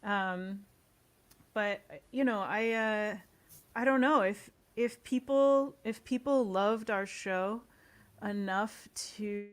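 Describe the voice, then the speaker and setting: A woman's voice: medium pitch, slow. A woman speaking informally and earnestly as a guest in a casual interview. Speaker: guest, audio series co-creator.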